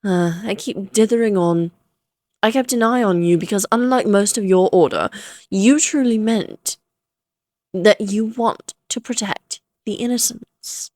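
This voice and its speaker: A woman's voice: mid-range voice, a little slow, a little mellow, dry recording, natural voice. A woman voicing an ancient, amused and self-assured female vampire who taunts the listener in formal, theatrical phrases, in a Victorian fantasy audio roleplay. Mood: taunting, conspiratorial.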